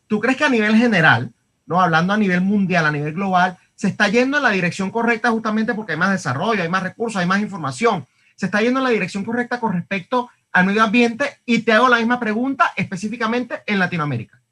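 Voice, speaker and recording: Fast-talking Venezuelan-born male interviewer. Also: sits high, pushed and bright. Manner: rapid, restarts while framing questions. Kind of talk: interview questions.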